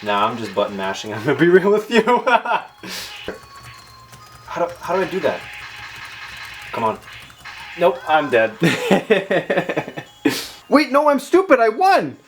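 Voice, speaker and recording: A man's voice: on the high side, huge pitch swings, takes it slowly, long pauses. A man hosting a casual tech and gaming vlog, talking informally with slangy, self-deprecating commentary. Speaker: video host. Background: background music.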